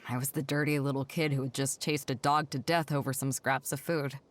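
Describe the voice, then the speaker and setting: A woman's voice: rumbling low, steady tone, fairly quick, slight background noise. An actress voicing a proud, tough adult pirate ship captain in a game cutscene, telling her past in a wistful, wry, confident way. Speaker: game character. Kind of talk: dubbed video game cutscene dialogue.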